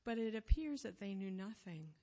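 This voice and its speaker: A woman giving a sermon, telling a story in an earnest, measured way, pausing phrase by phrase. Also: medium pitch, animated, warm tone. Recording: long reverb of a hall.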